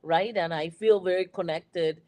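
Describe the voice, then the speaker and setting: A woman's voice: lowish voice, unhurried, a little mellow. A woman from Puerto Rico with a Puerto Rican accent, a native Spanish speaker, speaking reflectively on a panel and often checking in with "right?". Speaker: panel guest. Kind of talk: discussion.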